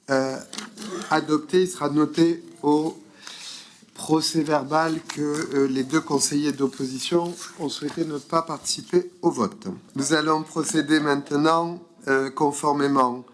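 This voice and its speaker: A man chairing a city council meeting, formal and procedural as he calls a vote. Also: mid-range voice.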